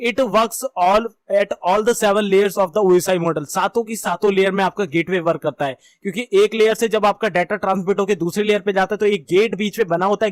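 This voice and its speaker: A man's voice: high voice, no room sound. A man with an Indian accent giving an informal, explanatory technical lesson in a conversational way, repeating and rephrasing his points. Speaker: computer networking instructor. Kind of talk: informal explanatory lecture mixing Hindi and English.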